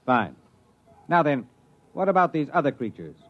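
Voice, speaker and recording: Authoritative starship commander, muffled vintage radio. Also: male voice, a little high, big pitch swings. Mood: measured, grave. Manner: authoritative, deliberate military formality. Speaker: actor in a radio drama. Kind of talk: 1950s radio acting.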